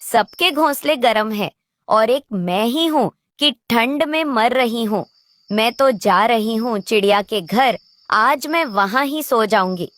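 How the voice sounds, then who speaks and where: A woman's voice: on the high side, animated, slow pace, fairly bright tone, dry recording, clean studio sound. A woman voicing a brash, spiteful female crow in a children's cartoon, whining and scornful. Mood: whining, pushy. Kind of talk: Hindi children's cartoon voice acting.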